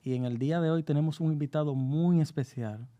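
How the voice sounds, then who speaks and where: A man's voice: a little high, brisk, mellow, lo-fi sound. A man hosting a podcast, welcoming a guest and asking short questions. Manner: brief, encouraging interjections. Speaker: podcast host. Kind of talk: podcast interview.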